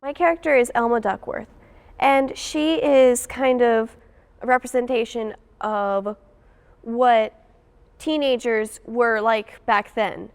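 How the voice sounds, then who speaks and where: Higher-pitched teenage girl explaining. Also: fairly even intonation, takes it slowly, a little mellow, clean tone. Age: teens, high school student. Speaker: student actor. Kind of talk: explanatory interview.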